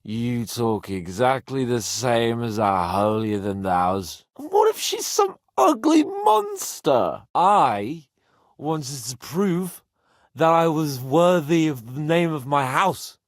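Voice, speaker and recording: An actor voicing a brash, teasing young medieval nobleman in a video game, proud, hot-headed and sardonic, with an expressive delivery. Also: medium pitch, slow, no room sound, studio quality, full character voice. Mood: drunken self-pity. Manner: cocky, sardonic, swearing, expressive. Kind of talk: video game voice acting, dramatic dialogue.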